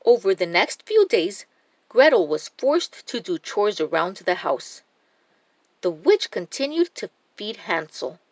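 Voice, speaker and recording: Woman, children's storybook narration, unhurried, animated intonation, deliberate, dry recording. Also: medium pitch, dry recording. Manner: deliberate, expressive. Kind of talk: expressive scripted storybook narration.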